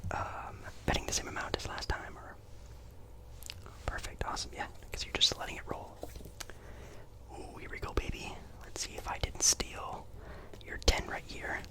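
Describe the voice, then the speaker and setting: A man whispering an ASMR roleplay as a friendly, apologetic casino dealer, breathy and chatty, with polite, hesitant reassurance. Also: moderate pace, rough recording.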